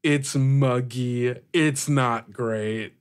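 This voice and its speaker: A man runs a tabletop game as game master. His voice sits at an average pitch and moves slowly, feeling its way through informal, improvised descriptions piece by piece. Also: fairly even intonation, smooth. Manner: halting, searching, informal.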